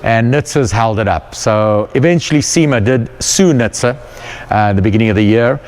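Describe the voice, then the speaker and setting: A man's voice: mid-range voice, normal speed, darkish tone. A South African man, whose first language is not English, leads a casual, enthusiastic tour of his car workshop and often repeats words as he searches for them. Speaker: company founder giving a shop tour. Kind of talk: casual guided tour talk.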